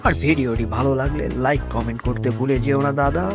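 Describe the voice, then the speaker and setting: A man's voice: medium pitch, quick pace, over music. A man narrating a cartoon recap to viewers as the channel's presenter.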